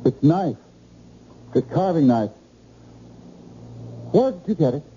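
An obsessed American married man in a vintage radio play, nervous and repetitive. His dark, low-leaning voice sweeps through pitches on a muffled old recording. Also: big pitch swings, slight background noise. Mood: alarmed. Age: middle-aged. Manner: halting, repetitive, intense. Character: obsessed, nervous, helplessly infatuated middle-aged married man. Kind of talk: old-time radio drama acting.

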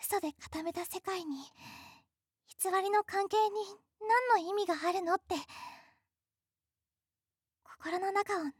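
A woman playing a timid, devoted teenage girl in a voice drama, speaking softly and earnestly. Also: extremely high pitch, takes it slowly, pauses often, no room sound, studio quality. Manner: soft and earnest, pleading. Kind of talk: voice drama acting.

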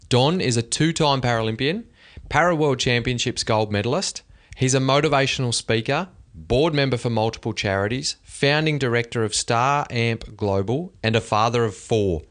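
A man's voice: medium pitch, normal speed, a bit bright. A man hosting a podcast, reading a polished intro and asking interview questions. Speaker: podcast host.

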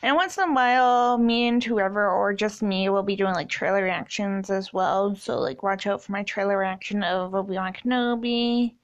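A young woman from Canada talks loosely through a solo podcast intro, filling pauses with ums and likes. Her voice sits at an ordinary middle pitch for a woman. She keeps it casual and unscripted. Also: smooth, lo-fi sound. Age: 20s. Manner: rambling, many ums and likes. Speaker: podcast host.

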